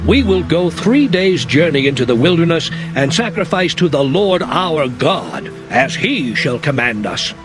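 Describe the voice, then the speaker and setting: A man's voice: a little high, very animated, a little slow, few pauses. A man playing an earnest prophet who delivers divine demands in a declamatory, emphatic way, in a dramatized scripture reading. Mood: earnest insistence. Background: music.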